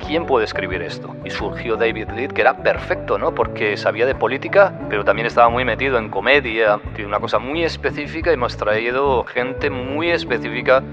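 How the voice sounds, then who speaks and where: A man's voice: mid-range voice, fairly quick, few pauses. A man, an advertising copywriter reflectively recounting a campaign and ending points with tag questions. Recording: dull recording with music.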